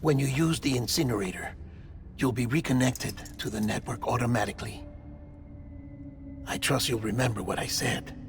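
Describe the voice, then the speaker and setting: An actor playing a melancholy, gentle medical official in a game cutscene, speaking in a measured, hushed, hinting way. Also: male voice, medium pitch, lightly acted. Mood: quietly conspiratorial. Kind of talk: video game cutscene acting. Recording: music behind the voice.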